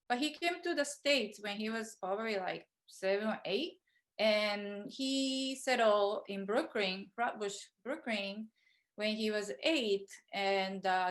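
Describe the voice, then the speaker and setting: A woman artist from Japan, whose first language is Japanese, talking over a video call in a halting, filler-heavy way. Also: on the high side. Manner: hesitant, many fillers and restarts. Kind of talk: virtual studio visit talk.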